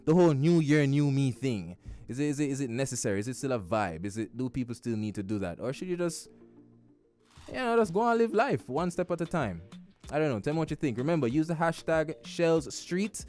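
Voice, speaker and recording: A man's voice: medium pitch, brisk, soft background music. A man with a Jamaican accent hosting a radio talk show from a studio, joking and chatty, full of slang. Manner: lively, joking, animated patter with slang.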